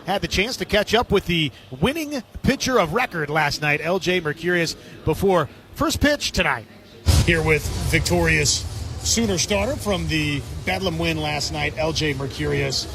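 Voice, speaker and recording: A man's voice: fairly high pitch, lively intonation, normal speed, a crowd in the background. A man hosting a sports radio pregame show and interviewing.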